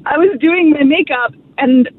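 A woman's voice: high-pitched, moderate pace. A woman calling in to a radio show, telling a self-mocking funny story with giggles. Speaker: caller. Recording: tinny phone line.

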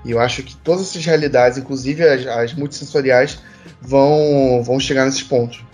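A man's voice: medium pitch, fairly even intonation, moderate pace, darkish tone, soft background music. A man with a Brazilian accent speaking casually in a panel discussion, thinking aloud in a discursive way and explaining through everyday examples. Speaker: panel participant.

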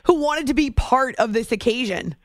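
A woman's voice: a little high, lively intonation, normal speed, no room sound, studio quality. A woman hosting a sports radio show, interviewing a guest in a conversational way.

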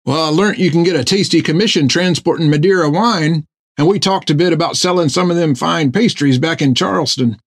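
A man voicing an excitable, food-loving male sailor who talks in a folksy, rambling way. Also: fairly high pitch, brisk, no room sound, studio quality, pitched above the performer's own voice. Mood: chatty. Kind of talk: audiobook character voice.

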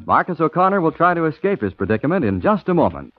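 A man delivers a vintage radio commercial as the announcer. His voice sits a little high and swings expressively, and the old recording sounds slightly dull. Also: very animated, fast, faint music behind. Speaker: radio announcer, sponsor pitchman. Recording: muffled 1940s radio recording.

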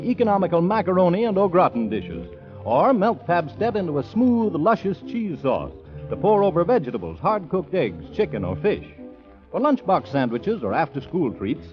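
A man with an American accent reading a 1940s radio advertisement as a commercial announcer. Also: on the high side. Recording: muffled vintage radio recording.

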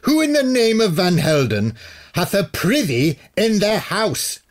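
A man voicing an old warlock from the Dark Ages who bellows in old-fashioned speech, high above his own voice. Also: huge pitch swings, a little slow, exaggerated voice. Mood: horrified.

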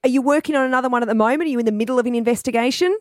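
A woman's voice: fairly high pitch, dry recording, clean studio sound. A woman hosting a podcast, playful and asking one eager question after another. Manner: playful, asks eager rapid-fire questions. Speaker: podcast host. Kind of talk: casual podcast chat.

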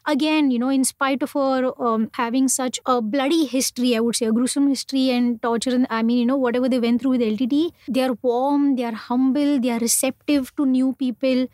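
A young Indian woman telling travel stories in a relaxed interview, rambling on with plenty of ums and restarts. Her voice sits a bit high and sounds close and dry, with no room around it. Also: a little fast, few pauses, no room sound. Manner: chatty, many fillers and restarts. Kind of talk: casual conversational storytelling.

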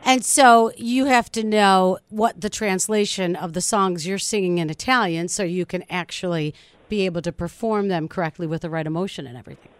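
A woman's voice: fairly low pitch, brisk, smooth, no echo. A woman radio host running a morning show interview, chatty and enthusiastic. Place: radio studio.

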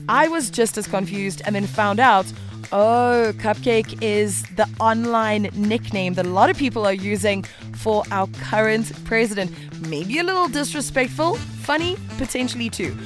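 A bubbly woman hosting a morning radio show, talking in a chatty, upbeat way with a slightly raised pitch. Her voice sits over a clear music bed in a radio broadcast mix. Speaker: radio host.

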